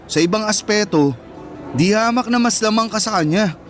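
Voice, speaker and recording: High-voiced, earnest Filipino teenage boy acted by a man. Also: normal speed, soft background music, natural voice. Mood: tender, teasing. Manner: firm, earnest. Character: protective, earnest teenage boyfriend at high school. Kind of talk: Filipino radio drama acting.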